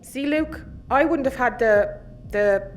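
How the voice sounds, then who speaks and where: Actress as sharp-tongued spaceship sister, highish voice, sardonic, bit of noise and clicking behind. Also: female voice, slow. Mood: grudging. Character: sharp-tongued sister, gear-loving spaceship crew member. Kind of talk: sci-fi comedy audio drama dialogue.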